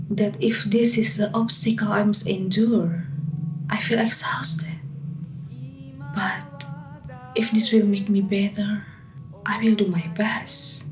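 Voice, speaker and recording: Radio drama heroine , female actor: suffering village girl, mid-pitched, slow, earnest delivery. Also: natural voice. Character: suffering young Javanese village girl held captive. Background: music.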